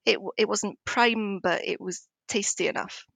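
Scottish lady from edinburgh being interviewed, thoughtful n a bit hesitant, mid pitch, really dry sound. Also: fairly even intonation, a little slow, creaky, a bit bright, no room sound. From Scotland, Edinburgh. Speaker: interview guest. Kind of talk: conversational interview.

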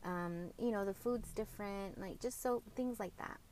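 A woman hosting a podcast, speaking openly and reflectively about co-parenting, often pausing and correcting herself. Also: medium pitch, darkish tone, slight background noise. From Mexican heritage, grew up speaking Spanish at home. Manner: reflective and open, with frequent fillers and self-corrections. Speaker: podcast host. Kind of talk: candid personal podcast monologue.

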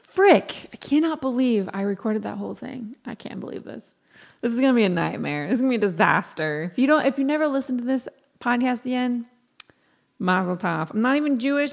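A woman podcast host chatting solo in a casual, rambling, slangy and self-deprecating way, with a scratchy, croaky voice worn out from talking and lack of sleep. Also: on the high side, expressive, a little fast. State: sleep-deprived, voice tired from talking.

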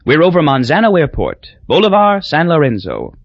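A man's voice: on the high side, huge pitch swings, normal speed, no echo, dull audio, pitched above the performer's own voice, exaggerated voice. A man voicing a female airline stewardess giving polite, firm instructions.

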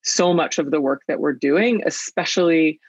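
A woman's voice: deep voice, normal speed, breathy edge, dry recording, lo-fi sound. A woman on a product team talking casually and earnestly, with many fillers and restarts. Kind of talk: casual conversational interview.

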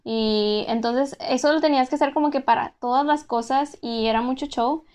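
Smooth-voiced Mexican woman, casual. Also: high-pitched, moderate pace, a little mellow. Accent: Mexican, Monterrey. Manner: conversational, frequent "pues" and "o sea" fillers. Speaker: former exchange student. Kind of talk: casual first-person account.

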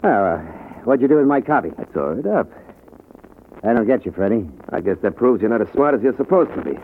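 An actor playing a worried boxing manager in a 1950s radio drama, fretful and pleading. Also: male voice, mid-range voice, sing-song, a little fast, close to an everyday voice. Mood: anxious. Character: anxious worn boxing manager. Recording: tinny old radio broadcast with faint hiss.

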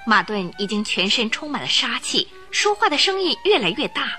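A woman narrating a radio drama in a clear, storytelling manner. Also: on the high side, sing-song, moderate pace, sharp tone, faint music behind.

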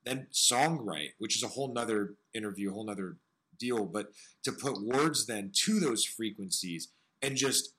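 A man with a smoky, raspy voice hosting an interview, talking earnestly and searchingly about music and his own struggles. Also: on the low side, animated, moderate pace, pushed and bright, low-quality recording. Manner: earnest, searching, piles up phrases. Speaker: podcast host. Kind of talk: reflective interview talk.

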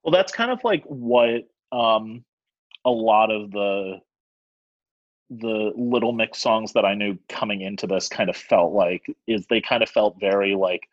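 Man, young adult, breathy tone, lo-fi dry recording, casual podcast chat. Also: fairly low pitch, measured pace, no room sound. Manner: hesitant, filler-heavy, reads out his notes. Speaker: podcast co-host. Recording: dull, dry lo-fi mic.

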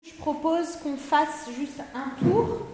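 High-voiced woman leading a group warm-up. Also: slow pace. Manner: encouraging, patient instructions. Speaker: workshop leader. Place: large hall in a château. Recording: echoey large hall.

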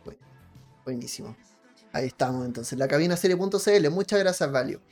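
A man's voice: on the high side, animated, fairly quick, darkish tone. A man with a Chilean accent co-hosting a casual podcast, telling stories by acting out reactions. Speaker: podcast co-host. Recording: faint music behind. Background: faint music.

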